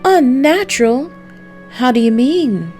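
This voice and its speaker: A worried young lady acted by a game streamer asks questions in a noir mystery game. Her voice is pitched up high, with music behind it. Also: very animated, takes it slowly, darkish tone, exaggerated voice. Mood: anxious. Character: anxious young woman client in a noir mystery.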